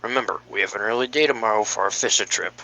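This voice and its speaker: A man's voice: on the low side, fast, pushed and bright, soft background music, low-quality recording. A man voicing an easygoing older grandfather in a matter-of-fact, reassuring way for an amateur cartoon read.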